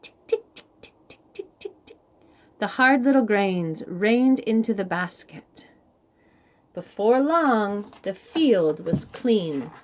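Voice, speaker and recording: Female children's narrator, deliberate and unhurried, with lively intonation for picture-book read-alouds. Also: mid-range voice, slight background noise. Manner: animated, deliberate, with sound words. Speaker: children's storybook reader. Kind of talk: expressive read-aloud storytelling for young children.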